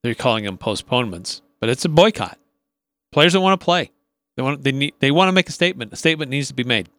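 A man's voice: mid-range voice, expressive, fast, fairly bright tone, dry recording. A man with an American accent co-hosting a sports talk radio show, giving analysis and chat.

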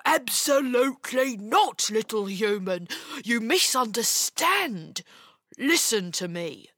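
A woman's voice: fairly high pitch, very animated, slow, fairly bright tone, no echo, clean studio sound, heavily acted. A woman voicing a tiny, grumpy old male ogre in a children's story, grumbling and pleading earnestly. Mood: urgent.

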